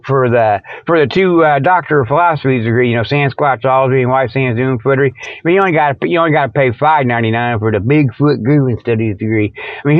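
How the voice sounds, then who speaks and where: A man hosting a radio show, delivering a rambling, irreverent comic monologue with mock sales pitches in a folksy, tangent-prone way. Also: no echo. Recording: dull lo-fi radio sound.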